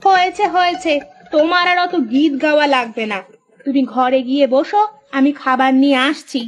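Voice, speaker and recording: A woman acts out a bossy village wife for a cartoon, high in pitch. She is sharp-tongued and practical. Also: fairly even intonation, normal speed, lightly acted. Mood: scolding. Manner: nagging, scolding. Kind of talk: Bengali cartoon story voice acting.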